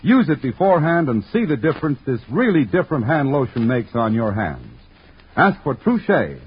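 A man's voice: mid-range voice, sing-song, moderate pace, husky. A man announcing on 1940s radio, reading scripts in an emphatic, formal, salesmanlike way. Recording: dull, scratchy 1940s radio recording.

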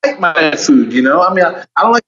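A man's voice: fairly high pitch, very animated, fairly quick, a bit bright, muffled recording, slight background noise. A man in his forties, a guest on a casual podcast, talking candidly and in a laid-back way. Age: 40s.

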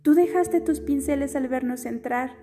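Woman reading a letter out loud, soft and wistful, really warm dark tone, bit of guitar in the back. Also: high-pitched, normal speed. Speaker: reader of a literary letter. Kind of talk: scripted audiobook reading.

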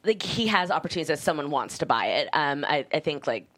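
Woman, low pitch, screenwriter interviewee, casual panel chat, halting and rambling delivery. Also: expressive, fairly bright tone.

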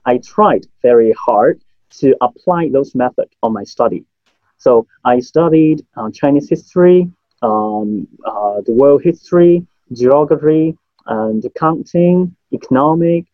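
A man's voice: a little high, animated, slow, no room sound, highs cut off. A man in his thirties with a Hong Kong accent, a native Cantonese speaker, answering interview questions hesitantly with many fillers. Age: 30s. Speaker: memory trainer, guest.

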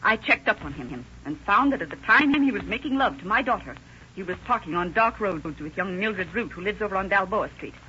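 Fussy middle-aged mom in old radio play, sharp voice, swingy pitch, crackly dull recording. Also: female voice, lively intonation, a little fast. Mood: triumphant. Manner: prim, wordy, self-righteous. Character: fretful, indignant widowed mother of teenage daughters. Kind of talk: 1930s radio acting. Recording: scratchy muffled old-time radio recording.

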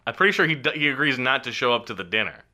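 A man's voice: mid-range voice, sing-song, rapid-fire, bright, forceful tone. A man co-hosting a podcast, chatting casually about films in a jokey, slangy way and chiming in often. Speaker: podcast co-host. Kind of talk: casual comedic film chat.

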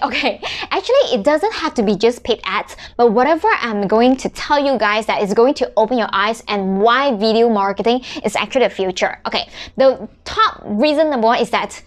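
A woman's voice: fairly high pitch, animated, normal speed. A woman in her thirties, a native Mandarin speaker from Malaysia, chatting casually in a marketing vlog with many restarts and tag questions. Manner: chatty, frequent "right?" and restarts. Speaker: vlogger.

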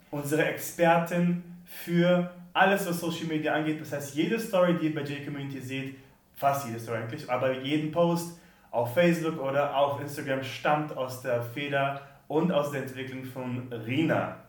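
A man hosting a podcast, reading the intro and then asking his guest relaxed questions. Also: on the high side, normal speed. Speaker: podcast host. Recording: echoey room, distant from mic, faint music.

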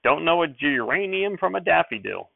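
A man voicing a loud, folksy, middle-aged small-town sheriff with a rustic drawl, heard over a tinny radio recording. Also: on the high side, very animated, normal speed, creaky, no echo, voice pushed up, heavily acted. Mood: hearty. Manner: hollering, rustic drawl, hearty.

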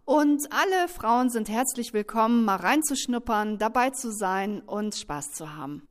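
A woman who belongs to a women's music group, speaking in a friendly, inviting way. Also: on the high side. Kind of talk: casual invitation.

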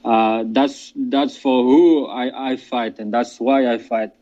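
A man answers in loose, halting bursts, stumbling over words and dropping casual slang. His voice sounds dark and mellow. The line comes through dull and lo-fi, as if over a remote call. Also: mid-range voice, fairly even intonation. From France. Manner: halting, many restarts and fillers, casual slang. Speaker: MMA fighter.

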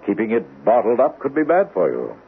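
A low-voiced, suave doctor in an old radio mystery, his tone sliding up and down as he probes and insinuates. He speaks deliberately, with veiled menace, over a tinny, band-limited broadcast. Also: huge pitch swings, breathy edge, exaggerated voice. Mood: probing, soothing. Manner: measured, deliberate, insinuating, dramatic pauses.

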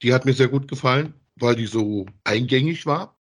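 A man's voice: mid-range voice, moderate pace. A man co-hosting a casual review podcast, retelling a story in an easygoing, colloquial way. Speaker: podcast co-host. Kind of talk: casual review chat about an audio drama.

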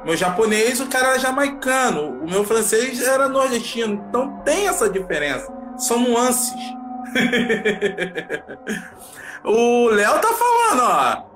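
A man's voice: extremely high pitch, very animated, a little slow, a bit bright, soft background music. A man co-hosting a casual live chat, joking around and talking in a playful, slangy way.

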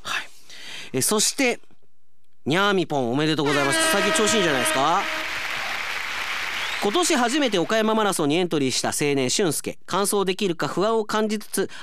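A man's voice: sits high, noisy background. A man hosting a radio segment, chatting and reading out listener mail as an announcer.

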